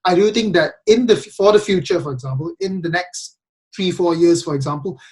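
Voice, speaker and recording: A man's voice: high voice, fairly quick, darkish tone. A young man from Malaysia hosting a casual podcast chat, speaking hesitantly with many restarts and ums. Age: young adult. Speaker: podcast host.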